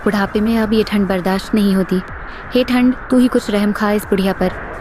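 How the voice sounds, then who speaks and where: A woman voicing a poor, lonely old village woman who speaks in a weary, plaintive way, in an animated storybook. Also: medium pitch, moderate pace, noisy background, close to an everyday voice. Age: older. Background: background music.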